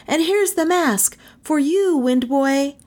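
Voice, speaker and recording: Lady reader doing a high-pitched little boy from a kids' book, earnest, eager, excitable. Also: normal speed. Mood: triumphant, excited. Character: eager, honest, loyal young boy.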